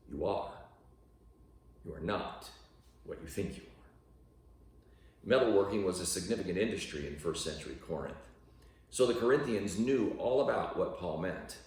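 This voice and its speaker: A low, gravelly male voice reads a devotional in measured, deliberate phrases, leaning on key words. The sound is very reverberant and a little distant from the microphone. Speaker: reader of a devotional audiobook. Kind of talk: read-aloud devotional narration. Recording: very echoey room, distant from microphone.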